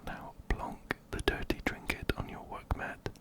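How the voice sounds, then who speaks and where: A man whispering breathily and gently, very close to a binaural microphone, as he narrates a game and reads its text aloud. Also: a little slow. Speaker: ASMR video host. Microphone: close-up binaural microphone, ear to ear.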